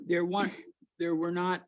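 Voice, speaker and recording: A man chairing a township board meeting, friendly and conversational, with some halting restarts. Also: sits high, monotone.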